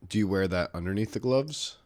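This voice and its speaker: A young man with a very deep voice hosts a casual podcast. He talks loosely, swears often and jokes around with his guest. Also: animated, brisk, a little mellow. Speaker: podcast host.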